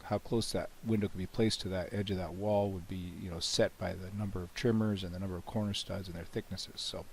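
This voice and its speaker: A man with a cold, hoarse and often clearing his throat, casually talking through a software demo with many "you know"s and restarts. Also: low voice, fairly quick, a little hiss or hum. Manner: relaxed, rambling, frequent "you know" and restarts. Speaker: software developer demonstrating his plugin. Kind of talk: screen-recorded software walkthrough.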